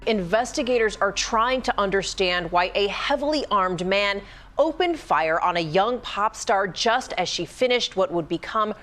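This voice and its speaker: Woman news reporter, mid-pitched, measured broadcast delivery. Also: lively intonation, a bit bright.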